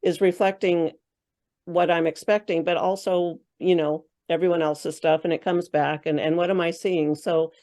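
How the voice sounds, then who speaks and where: Woman, low voice, spiritual coach guest, rambling loosely with fillers. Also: steady tone, normal speed, dark tone. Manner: thinks aloud with many fillers and restarts. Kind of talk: casual interview chat.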